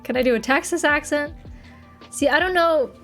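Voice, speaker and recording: Woman, young adult, from Massachusetts, higher-pitched, casual giggly chat, faint background music. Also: normal speed, a bit bright. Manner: chatty, giggly, trails off and restarts. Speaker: streamer. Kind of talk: casual chat reading live chat. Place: her apartment.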